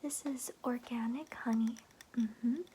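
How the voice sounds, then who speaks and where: A woman voicing a chatty, doting grandmother in a soothing, breathy ASMR roleplay whisper, rambling in a folksy way. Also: a little high, fairly even intonation, slow pace, mellow, a little hiss or hum. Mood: soothing, caring.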